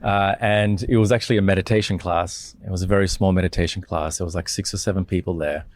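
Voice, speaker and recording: A deep-voiced Australian man in his seventies talks through his path into meditation on a panel. He speaks in an easygoing way, full of ums and asides, and the sound is dry and close with no room ring. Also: quick pace, no room sound. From Sri Lanka, raised in Australia. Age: 70s. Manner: relaxed storytelling with frequent fillers. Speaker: meditation teacher, panel guest. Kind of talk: conversational panel talk.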